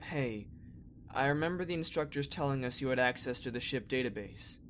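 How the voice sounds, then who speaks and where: A man's voice: medium pitch, fairly quick, a bit bright, natural voice. Man as young space cadet, mid-range voice, earnest, hesitant, casual, sci-fi cartoon dub, faintly noisy. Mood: matter-of-fact. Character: earnest, curious young male space trainee. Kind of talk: fan sci-fi parody dub, conversational.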